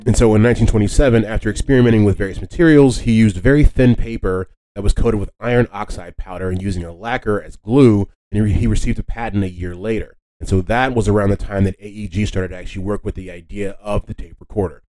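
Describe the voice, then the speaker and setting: A man hosting a podcast, explaining history casually in a halting, conversational way and often rephrasing himself. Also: on the low side, dark tone, rough recording. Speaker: podcast host.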